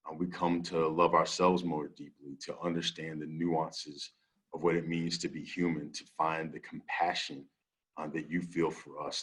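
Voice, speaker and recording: A man speaking as a minister, reflective and deliberate, with halting phrasing. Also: low voice. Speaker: minister, guest. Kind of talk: reflective talk and spoken prayer.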